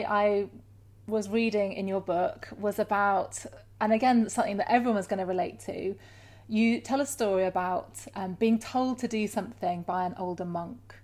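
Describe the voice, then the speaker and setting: A woman hosting a podcast interview, affirming her guest and relating his ideas to her own life in a conversational way. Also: medium pitch. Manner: warm, affirming, shares personal examples. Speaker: podcast host.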